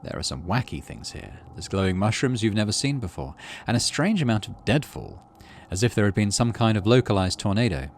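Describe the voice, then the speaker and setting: A man's voice: lowish voice, big pitch swings, brisk, slight background noise. A man, middle-aged, doing playthrough commentary and reading game text aloud expressively. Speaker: gaming channel host.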